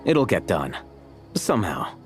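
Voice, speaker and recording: An actor playing a terse, deadpan young man scholar with dry, aloof replies, in a game cutscene. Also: low voice, unhurried, faint music behind. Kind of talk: video game cutscene voice acting.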